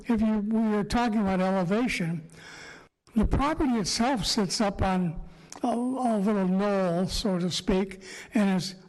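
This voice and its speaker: A man with a sore throat and a slightly raspy voice, giving a halting public presentation to a city council. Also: sits high, darkish tone. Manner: slow, halting, many fillers. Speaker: applicant speaking at council meeting. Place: council chamber. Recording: echoey council chamber.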